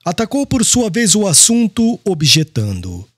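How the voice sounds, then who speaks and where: Expressive, higher-pitched male narrator. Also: measured pace, breathy edge, dry recording, studio quality. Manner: formal, expressive. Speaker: audiobook narrator. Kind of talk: scripted literary narration.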